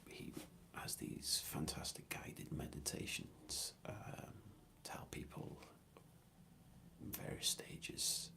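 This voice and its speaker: A man whispering an ASMR question-and-answer ramble in a soft, hesitant and thoughtful way. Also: slow, rough recording.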